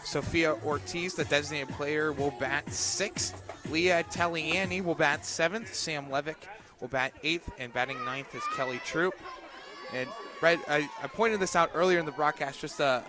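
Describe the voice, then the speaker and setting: A man doing live radio play-by-play of a softball game, calling the action in short, clipped phrases. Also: on the high side, moderate pace, over music. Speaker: play-by-play announcer.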